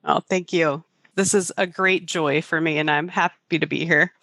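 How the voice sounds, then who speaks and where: A woman's voice: low-pitched, steady tone, normal speed, creaky, fairly bright tone, no echo. A woman co-hosting a podcast, talking casually and candidly about personal life with self-deprecating openness. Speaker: podcast co-host.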